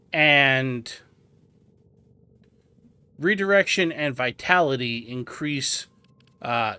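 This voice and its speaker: A man's voice: on the high side, bright, forceful tone. A man hosting a video game guide, giving methodical, instructional tutorial commentary.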